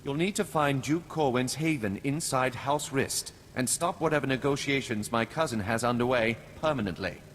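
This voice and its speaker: An actor playing a scheming, formal aristocrat in a video game, speaking in a grave, measured manner. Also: male voice, mid-range voice, natural voice. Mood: calculating. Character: scheming, formal male aristocrat hiring a killer. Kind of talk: scripted video game acting. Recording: reverberant hall, faint noise.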